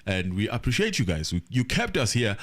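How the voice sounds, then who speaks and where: A man's voice: on the low side, huge pitch swings, a little fast. A South African man hosting a casual live stream on a headset, jovial and apologetic, slipping into Zulu phrases. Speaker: host.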